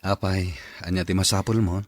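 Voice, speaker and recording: An actor playing a friendly, loyal, adoring young husband in an audio drama, with an Ilocano Filipino accent. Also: male voice, sits low, flat delivery, normal speed, no room sound, natural voice. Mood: polite.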